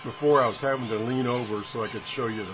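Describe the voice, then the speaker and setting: A man's voice: medium pitch, quick pace. A man hosting a casual, hands-on product demonstration in a home workshop, talking in a rambling, folksy, self-deprecating way. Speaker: video host reviewing gadgets. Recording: steady fan and room noise.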